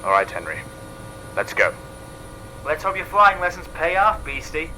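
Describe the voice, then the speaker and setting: An actor playing a cocky, teasing teenage student superhero in an audio drama, casual in manner. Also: male voice, a little high, big pitch swings, moderate pace, pushed and bright, slight background noise, full character voice, rough recording.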